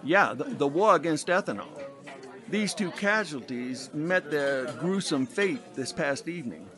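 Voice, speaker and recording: A man's voice: fairly high pitch, animated, unhurried, over music. An actor playing a brash, worldly veteran newspaperman of the 1920s in an audio drama, talking in colorful, wry, wordy jabs.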